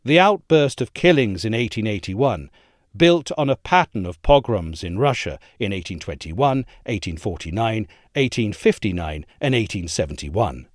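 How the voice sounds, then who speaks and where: A man reading an essay aloud as a narrator, in a measured, articulate and formal delivery. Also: fairly low pitch, animated, no echo, clean studio sound.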